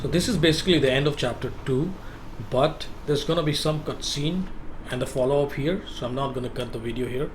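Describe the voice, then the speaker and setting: A man's voice: medium pitch, a little fast, noisy background. A man hosting a casual game walkthrough, guiding the viewer step by step and often repeating himself. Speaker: gameplay walkthrough host.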